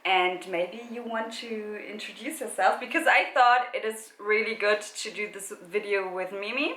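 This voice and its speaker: Bright-voiced chatty woman vlogger. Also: mid-range voice, reverberant, low-quality recording. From Germany. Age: 20s. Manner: chatty, giggly, lots of fillers. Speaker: vlogger, channel host. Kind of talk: casual chat.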